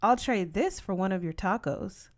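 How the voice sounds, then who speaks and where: A woman's voice: medium pitch, lively intonation, moderate pace, natural voice. A woman voicing a kind, upbeat female PE coach in a story, with a friendly, coaxing manner.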